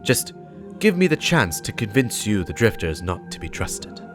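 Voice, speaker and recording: A man voicing a stern, righteous warlock, speaking gravely and deliberately in a dramatic lore reading, with emphatic warnings against corruption. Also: lowish voice, very animated, music in the background. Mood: stern, urgent.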